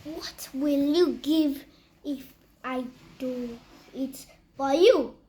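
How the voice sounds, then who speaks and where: A bargaining male goblin from a storybook, played by a childlike reader. The voice is high and very slow, with a halting, questioning way of speaking. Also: a little mellow.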